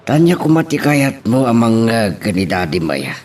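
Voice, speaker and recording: An actor playing an older, gently teasing and pious grandfather who encourages the young in a serial drama. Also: mid-range voice, very animated, normal speed, natural voice. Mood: coaxing, inquisitive. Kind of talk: radio-style serial drama acting. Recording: distant mic with faint noise.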